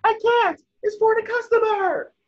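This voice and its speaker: A man playing an eager, naive cartoon sea sponge fry cook in a table reading, earnest and excitable. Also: very high-pitched, normal speed, highs cut off. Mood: frantic.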